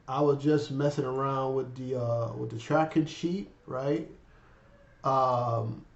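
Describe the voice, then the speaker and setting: Raspy man in his fifties with a cold. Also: medium pitch, a little mellow. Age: 50s. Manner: rambling, many fillers, addresses viewers as guys. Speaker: finance channel host. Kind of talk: casual vlog talk. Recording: echoey room, dull lo-fi sound.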